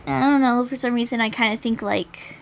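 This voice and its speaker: A woman's voice: on the high side, fairly even intonation, fairly quick, vocal fry, slight background noise. A woman streaming a visual novel read-aloud, giving casual, hesitant, rambling commentary between passages.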